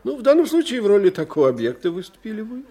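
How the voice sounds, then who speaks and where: An actor playing a learned older professor in an audio drama, lecturing in a theatrical, condescending manner. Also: male voice, high voice, wildly swooping pitch, racing, dark tone, full character voice. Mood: expounding, patronizing. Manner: didactic, theatrical, condescending to his young friend. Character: animated, learned older professor investigating psychic phenomena.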